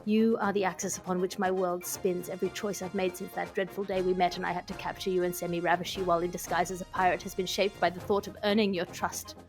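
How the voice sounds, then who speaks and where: A woman's voice: on the low side, flat delivery, fast talker, few pauses, music in the background, natural voice. A woman voicing a lovestruck male sea captain in a romance audiobook, pleading in florid, impassioned style. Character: lovestruck, broad-shouldered sea captain declaring himself, romance hero.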